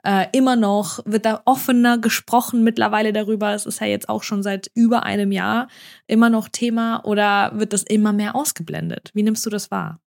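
A woman's voice: mid-range voice, steady tone, fairly quick, gravelly, darkish tone. A woman hosting an interview, asking several probing questions in a row. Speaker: podcast host.

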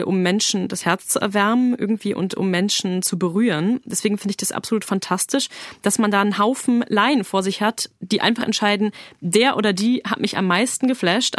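A woman's voice: medium pitch, dry recording, studio quality. A young woman, an opera soprano, talking enthusiastically in a casual radio interview. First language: German. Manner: enthusiastic, lively, casual phrasing with fillers. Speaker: soprano singer, interview guest.

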